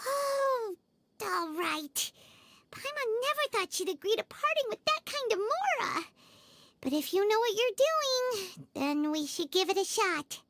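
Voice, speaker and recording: A woman voicing a tiny, excitable, childlike floating companion in a video game. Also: very high voice, a little slow, dry recording, exaggerated voice. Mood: reluctant. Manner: refers to herself in the third person. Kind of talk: animated video game voice acting.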